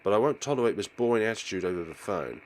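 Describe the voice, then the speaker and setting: A man acts out a creepy male teacher from a horror visual novel, fairly low in pitch. He is possessive and impatient, and his intonation slides up and down. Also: expressive, fast talker, darkish tone, lightly acted.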